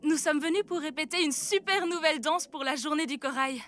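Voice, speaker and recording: A woman voicing a bubbly, excitable seahorse girl in an animated series, with a gushing, enthusiastic delivery. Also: high-pitched, fairly quick, noisy background, lightly acted. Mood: excited. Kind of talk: French animated series dubbing.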